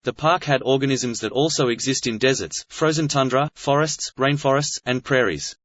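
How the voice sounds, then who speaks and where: A man's voice: medium pitch, normal speed, a bit bright, no room sound. A man reading a scripted practice passage aloud in a dull, lo-fi recording. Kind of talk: scripted read-aloud of exam practice text. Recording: dull lo-fi sound.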